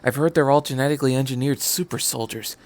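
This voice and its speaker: A man reads the part of a cynical salaryman lawyer in a story. He sounds dry and matter-of-fact, a gossip at a middling pitch. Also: fast, natural voice.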